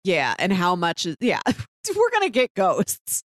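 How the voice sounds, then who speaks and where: A woman's voice: fairly low pitch, huge pitch swings, a little slow, dry recording, clean studio sound. A woman co-hosting a casual TV review chat, thinking aloud and restarting her phrases as she goes. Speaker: podcast co-host.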